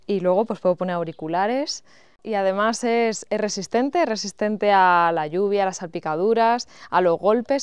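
A woman's voice: mid-range voice, darkish tone, clean tone, no room sound. A young woman, presenting a product in a casual way outdoors on a lapel mic. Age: young adult. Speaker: video presenter. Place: outdoors beside a village garden wall. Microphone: clip-on lapel mic.

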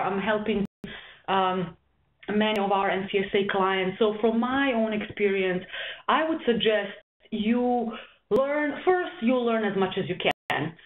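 A young woman from Serbia, a volleyball coach, giving a webinar presentation with many ums and restarts. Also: medium pitch. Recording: tinny webinar audio, echoey room.

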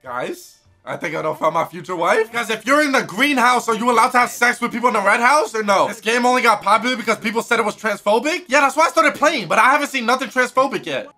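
A young man in his twenties, a streamer giving crude, loud, excitable commentary while playing a game, talking into a headset in his bedroom. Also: very high voice, fast talker, hardly any pauses, soft background music. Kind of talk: crude live gaming commentary. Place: pink-lit bedroom, indoors.

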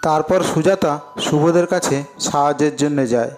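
A man's voice: on the high side, normal speed, darkish tone, soft background music. A man narrating a scripted cartoon story as its storyteller.

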